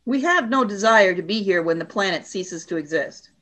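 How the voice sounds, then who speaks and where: Stern alien female war leader, played by a woman: cold, formal, commanding, mid-pitched, radio drama. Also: animated, moderate pace, fairly bright tone, natural voice. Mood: cold, severe. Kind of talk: radio play acting.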